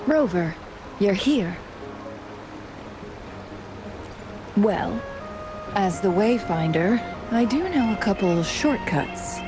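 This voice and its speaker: A woman voicing a composed, courteous young strategist in a fantasy game, speaking in measured, formal phrases. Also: medium pitch, expressive, music in the background. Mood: calm, purposeful. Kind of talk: scripted video game dialogue.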